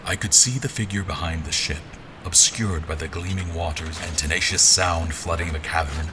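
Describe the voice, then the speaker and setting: A man playing a seasoned general who narrates his sea adventure in a measured, literary, dramatic style for an audio drama. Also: low-pitched, steady tone, background noise, close to an everyday voice. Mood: measured suspense. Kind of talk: dramatic first-person scripted narration. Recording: music under the voice.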